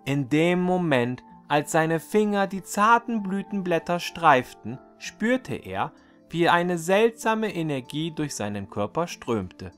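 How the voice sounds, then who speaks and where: Man, higher-pitched voice, lively intonation, deliberate storybook narration, fairy-tale reader, faint music behind.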